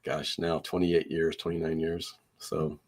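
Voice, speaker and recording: Low-voiced, easygoing man who laughs often. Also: flat delivery, unhurried, darkish tone. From Iowa. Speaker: guest reseller. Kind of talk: casual interview chat.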